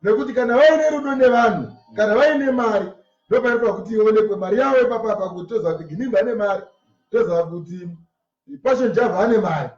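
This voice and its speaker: A man's voice: very high voice, darkish tone. A man with a Zimbabwean Shona accent preaching a sermon, emphatic and repeating his phrases for effect. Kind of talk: sermon expounding scripture line by line. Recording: distant mic in echoey, muffled room.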